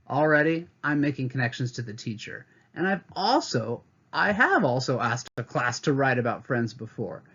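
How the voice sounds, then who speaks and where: Male teacher, read-aloud to kids, animated higher voice, slightly muffled webcam audio. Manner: expressive storybook reading with teacherly asides. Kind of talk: read-aloud lesson for children.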